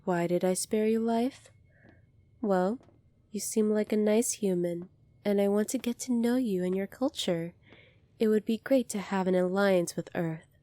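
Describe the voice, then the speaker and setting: A woman playing a poised, kindly young alien princess in an ASMR roleplay, polite, formal and measured, soft-spoken with breathy moments. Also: medium pitch, no room sound, close to an everyday voice. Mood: gentle, reassuring.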